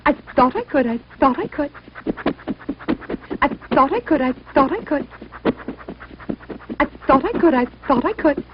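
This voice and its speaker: A woman's voice: sits high, animated, a little slow, pauses often, dull audio, background noise, voice pushed up. A woman voicing a small, cheerful, determined female steam engine in a storybook, chanting with puffing effort. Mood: joyful, singsong.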